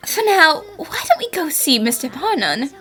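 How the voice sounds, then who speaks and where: A woman voicing an excitable, inquisitive young female detective in an animated fan dub, with expressive delivery. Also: high voice, moderate pace, soft background music. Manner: expressive, exclamatory.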